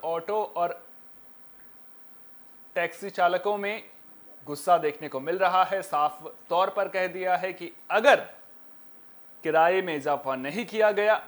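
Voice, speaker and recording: High-pitched male news anchor, emphatic delivery. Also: fairly bright tone. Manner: emphatic, repeats and rephrases points. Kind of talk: news bulletin presentation.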